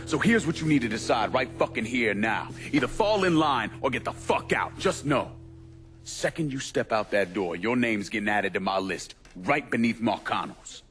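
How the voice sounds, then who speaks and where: An actor playing a hardened veteran turned crime boss in a video game, with blunt, profane authority. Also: male voice, mid-range voice, lively intonation, moderate pace, fairly bright tone, close to an everyday voice. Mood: angry, commanding. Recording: music behind the dialogue.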